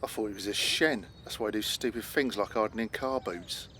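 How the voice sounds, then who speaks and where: Actor as sneering gang thug. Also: male voice, fairly low pitch, brisk, bright, forceful tone, a little hiss or hum. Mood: irritated, mocking. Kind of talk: comic radio play acting.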